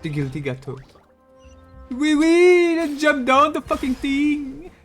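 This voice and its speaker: A man's voice: very high voice, expressive, takes it slowly, fairly bright tone, soft background music. A man streaming a game with casual, mocking, sweary commentary. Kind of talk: casual sarcastic live commentary.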